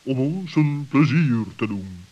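A man playing a grave, suspicious but caring owl in a children's radio play, speaking in a stern, deliberate manner. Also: medium pitch, expressive, dark tone. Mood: amazed. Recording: dull old radio recording.

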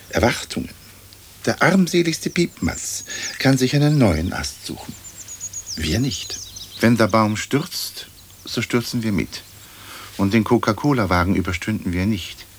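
An actor playing a pompous, wry old aristocratic ghost in a radio play, speaking in stately, formal phrases. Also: male voice, lowish voice. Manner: stately, long-winded, formal diction. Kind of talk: German radio play acting. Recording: old radio recording with faint noise.

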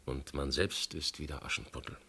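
An actor playing a shrewd, methodical police inspector in a German radio crime drama, reasoning insistently with a gravelly, husky voice. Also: male voice, extremely low pitch, steady tone, pushed and bright, exaggerated voice. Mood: pointed, knowing. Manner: deliberate, insistent, reasoning point by point.

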